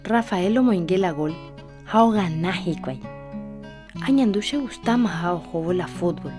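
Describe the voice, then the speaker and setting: An actress playing a lively young daughter in a radio drama who also narrates the story. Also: female voice, lowish voice, measured pace, music in the background.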